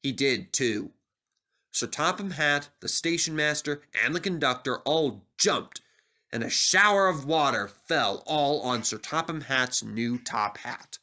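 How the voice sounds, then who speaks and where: Very animated male children's storyteller. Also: mid-range voice, a little slow. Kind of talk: scripted children's story narration.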